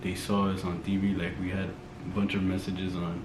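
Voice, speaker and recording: Low-voiced man in an echoey room. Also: monotone, moderate pace, a little mellow. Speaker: YouTube vlogger. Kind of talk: casual chat. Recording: echoey room with background noise.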